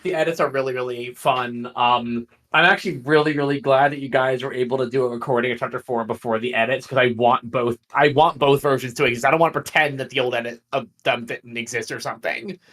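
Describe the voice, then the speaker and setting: A man's voice: mid-range voice, fairly even intonation, fast, few pauses, a bit bright. A man chatting casually on a livestream, enthusiastic and rambling as he talks about creative work as a guest.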